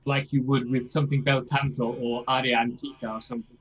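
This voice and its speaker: A man's voice: medium pitch, little pitch movement, a little fast, fairly bright tone, slightly rough, lo-fi sound. A man coaching a singer in a masterclass, explaining things and checking in with "yeah?" as he demonstrates lines himself. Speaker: vocal coach.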